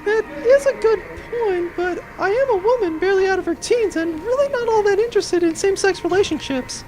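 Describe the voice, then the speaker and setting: Woman acting a very high-voiced earnest young pilot. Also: fairly quick, noisy background. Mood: exasperated. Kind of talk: comedic audio drama acting.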